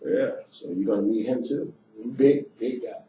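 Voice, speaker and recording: Middle-aged male co-host, fairly low voice, jokey; distant roomy recording with faint hiss. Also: normal speed. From Cuba. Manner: joking, laughs often, frequent agreement. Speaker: radio co-host. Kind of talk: casual radio banter. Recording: roomy, distant, dull lo-fi radio audio.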